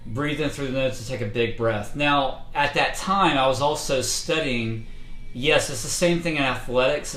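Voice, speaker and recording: Male vocal coach, mid pitch, casual livestream lesson full of fillers, roomy echo. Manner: relaxed, conversational, many fillers. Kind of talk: casual instructional talk. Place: indoors, livestream setup.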